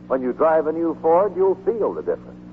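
A man's voice: fairly high pitch, sing-song, brisk. An American man reading a scripted announcement in the style of 1940s radio. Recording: tinny 1940s radio broadcast with hum.

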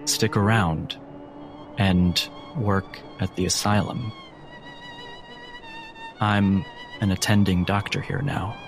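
Man playing a slow, low-voiced asylum doctor. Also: wildly swooping pitch, music in the background, exaggerated voice. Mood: calm authority. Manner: slow, deliberate, measured. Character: calm, controlling male asylum doctor, soothing authority.

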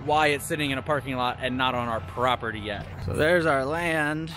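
A man's voice: medium pitch, moderate pace, background noise. A man in his thirties, a homestead vlogger, chatting easily to a camera held at arm's length, first outdoors on rural land and then inside a camper. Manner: chatty, easygoing, talks straight to camera. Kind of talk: casual vlog narration.

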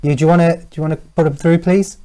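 A man hosting a studio panel interview on TV, chatty and quick with his questions. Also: fairly high pitch, fairly even intonation, mellow. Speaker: TV talk show host.

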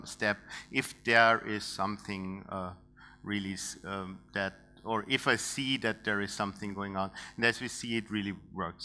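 A man in his thirties with an Austrian accent, giving a technical conference talk on a large dark stage through a headset mic, thinking aloud with many fillers and restarts. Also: fairly low pitch. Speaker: conference speaker.

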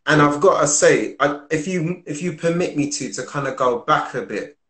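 A man in his forties hosting a podcast, asking measured, thoughtful questions over a video call. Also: a little high, lively intonation, a bit bright. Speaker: podcast host. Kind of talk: casual interview. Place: home room on a video call. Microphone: video call with earphone mic. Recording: roomy video call audio.

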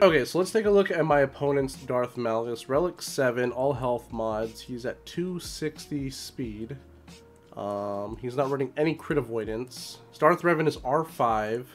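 A man in his thirties with a slightly hoarse voice, giving casual, informal strategy commentary on gameplay and explaining as he goes. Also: medium pitch. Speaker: gaming video creator. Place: small room at home.

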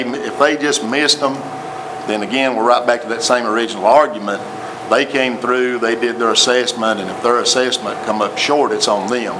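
A man with a Tennessee accent giving a plainspoken report to a city council, repeating himself as he explains. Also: fairly low pitch. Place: council chamber with audience. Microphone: table microphone. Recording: noisy council chamber recording.

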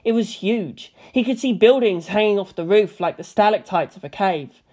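A woman's voice: mid-range voice, normal speed, dark tone. A woman narrator reading a scripted story as an audiobook.

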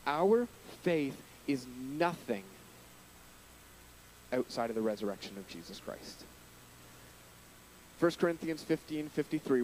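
A man in his thirties preaching a sermon into a handheld mic in a small church hall, earnest, lifting his voice on key points and dropping low at phrase ends. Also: darkish tone, a little hiss or hum. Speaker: pastor. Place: church hall with curtains, small indoor stage.

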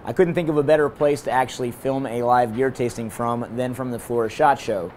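A man in his thirties with a hoarse voice, hosting a casual gear review vlog from a hotel room and balcony. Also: mid-range voice, a little fast, a little hiss or hum. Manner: energetic, talks with his hands. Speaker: host.

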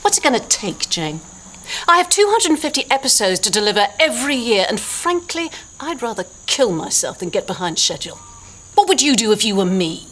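A woman's voice: on the high side, big pitch swings, brisk, a bit bright, slight background noise. A middle-aged British woman playing an imperious, breezy and scornful radio drama boss in a comedy soap, with a theatrical manner. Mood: exasperated. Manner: theatrical, haughty.

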